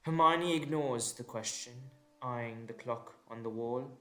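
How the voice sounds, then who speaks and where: A man's voice: mid-range voice, a little slow, bright, forceful tone. A man narrating a scripted audio drama in a dramatic storytelling style. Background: instrumental music.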